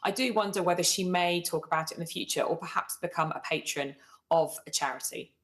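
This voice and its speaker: Low-voiced British woman giving commentary. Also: brisk, fairly bright tone. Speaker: royal commentator on a news programme. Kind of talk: live broadcast punditry.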